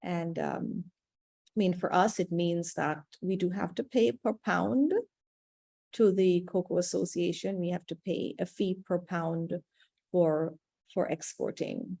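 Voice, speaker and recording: Woman, cocoa farmer on a video call, fairly low voice, measured and diplomatic, hesitant, dry sound. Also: mellow, dry recording. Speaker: cocoa estate representative and third-generation cocoa farmer. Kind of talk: webinar Q&A answer.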